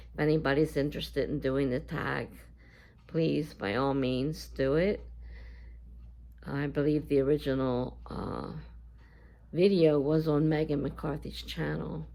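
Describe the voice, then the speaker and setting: A woman with a scratchy voice talking casually to her viewers in a vlog, halting and full of fillers. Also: deep voice, gravelly, a little mellow. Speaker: YouTuber. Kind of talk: casual talk to camera.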